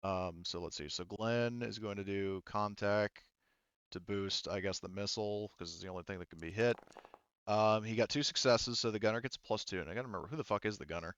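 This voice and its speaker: Halting, low-voiced game master guy. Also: a bit bright, clear, resonant tone, dry recording, lo-fi sound. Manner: halting, thinking aloud while rolling dice. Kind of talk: casual tabletop game narration with rules talk.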